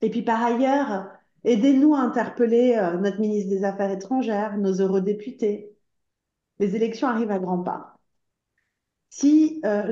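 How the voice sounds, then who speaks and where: A woman from Iran addresses a conference audience with earnest urgency, pleading for action between frequent hesitant fillers. Her voice is mellow and dark, of middle pitch. The room is roomy and echoing, and the recording sounds slightly dull and muffled. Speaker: association spokeswoman speaking at a conference. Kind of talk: impassioned conference speech.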